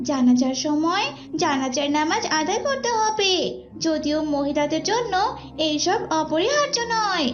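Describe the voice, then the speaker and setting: A performer voicing a devout young older sister who explains and instructs in an Islamic moral cartoon. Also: extremely high pitch. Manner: preachy, explanatory. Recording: dull lo-fi audio.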